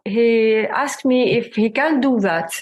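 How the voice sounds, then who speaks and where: A woman from Algeria, speaking English as an in a podcast interview, pausing often, searching for words and laughing readily. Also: a little high, a little mellow, lo-fi sound. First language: Arabic. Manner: halting non-native English with many pauses and fillers; laughs often while telling stories. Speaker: guest singer-songwriter.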